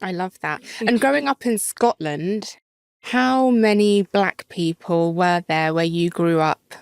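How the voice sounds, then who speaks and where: A woman's voice: lowish voice, takes it slowly, creaky, clear, resonant tone, no room sound, studio quality. A woman co-hosting a podcast, asking questions and encouraging the guest with frequent affirmations. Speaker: podcast co-host. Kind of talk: casual interview chat.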